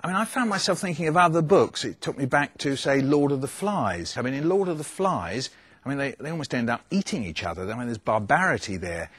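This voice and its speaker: A man's voice: medium pitch, sing-song, fairly quick, a bit bright, breathy edge, no echo. A man hosting a book discussion, putting probing, rhetorical questions to the panel. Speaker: host of a book discussion programme.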